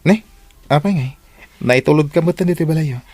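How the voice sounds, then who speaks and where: An actor playing a casual, evasive young boyfriend in a radio drama, with an Ilocano accent. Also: male voice, a little high, fairly quick, darkish tone, soft background music, lightly acted. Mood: coaxing.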